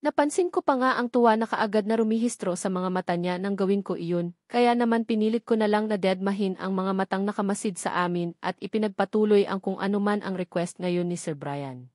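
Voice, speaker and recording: A woman's voice: mid-range voice, a little fast, darkish tone, dry recording, studio quality. A woman with a Filipino accent reading a first-person romance story as a scripted audiobook narration.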